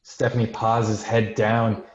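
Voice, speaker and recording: A man's voice: lowish voice, monotone, slow, slight background noise. A man reading scripted screenplay stage directions as narrator. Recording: dull, roomy, echoing home recording.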